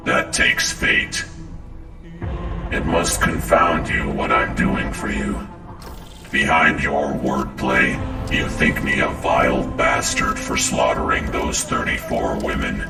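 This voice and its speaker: A man's voice: a bass voice, very animated, slow, vocal fry, heavily acted. An actor playing a sadistic, taunting killer in a video game, drawing out his words with menace and gloating. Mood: menacing, persuasive. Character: sadistic, taunting male pyrokinetic serial killer villain. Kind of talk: dramatic game villain acting. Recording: echoing, distant, under loud music.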